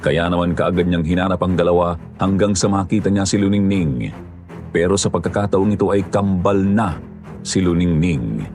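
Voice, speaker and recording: A man narrates a scripted horror story in a measured, steady way. His voice sits low. Soft music plays beneath him.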